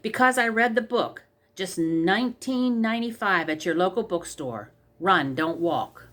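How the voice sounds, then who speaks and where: A woman's voice: fairly low pitch, lively intonation, unhurried, a bit bright. A woman voicing a funny, hungry little old man in a storybook fairy tale. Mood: wisecracking.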